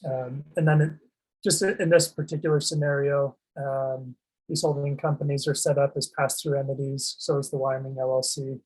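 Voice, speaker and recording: A man walks through a finance presentation in a flat, monotone delivery. His voice is slightly husky and sits at an ordinary middle pitch. He fills pauses with ums and often restarts words mid-phrase. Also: rough recording. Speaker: asset protection presenter. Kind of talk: explaining a slide presentation.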